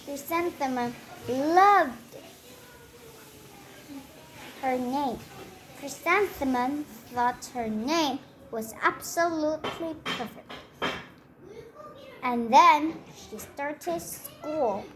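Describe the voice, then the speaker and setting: A woman reading a children's story aloud in a deliberate way, pausing between short phrases. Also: high voice, noisy background.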